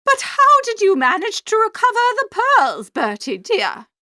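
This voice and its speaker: A haughty older aunt, acted by a woman in a comic storybook, with a high voice that swoops dramatically. She draws out her words with snobbish emphasis and flusters grandly. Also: huge pitch swings, bright, forceful tone, no room sound, studio quality, exaggerated voice. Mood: flustered, feeble. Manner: snobbish, emphatic, drawn-out delivery.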